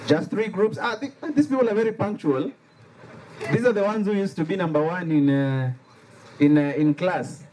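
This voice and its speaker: Fasilitator pria beraksen Liberia yang bersemangat. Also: male voice, sits high, moderate pace, slight background noise, low-quality recording. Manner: animated, playful, has the audience repeat words. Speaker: workshop facilitator. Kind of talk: interactive call-and-response workshop. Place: workshop room with a live audience.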